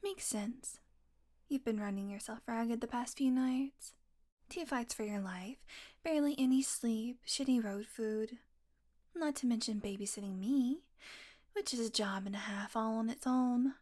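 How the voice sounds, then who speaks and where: A woman playing a sardonic, teasing, predatory vampire with a soft spot, in an intimate, sweary audio roleplay monologue that often drops to a murmur. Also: fairly high pitch, unhurried. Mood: soft, musing.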